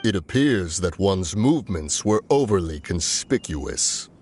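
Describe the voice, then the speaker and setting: A pompous bandit henchman, fairly low in pitch. His florid archaic lines are lively and theatrical in game-villain style, with faint noise behind. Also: measured pace. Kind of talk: video game villain acting.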